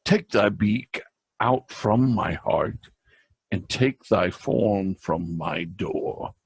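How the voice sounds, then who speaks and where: A man giving a theatrical dramatic poetry recitation in a gravelly, husky voice, with drawn-out pauses and emphatic repeats. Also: sits low, lively intonation, no room sound. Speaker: amateur reader of a classic poem.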